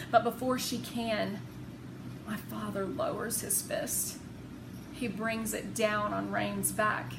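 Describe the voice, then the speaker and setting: A woman narrating a children's novel expressively, with deliberate, dramatic phrasing. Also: fairly high pitch. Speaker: read-aloud narrator. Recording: roomy, noisy home recording.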